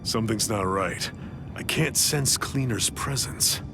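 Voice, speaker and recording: Serious anime game mentor guy, explains stuff gravely, music under it. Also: lowish voice. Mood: grave, explaining. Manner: serious, measured explaining, commanding. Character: grave, knowing Soul Reaper mentor to a young fighter. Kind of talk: English anime game voice acting.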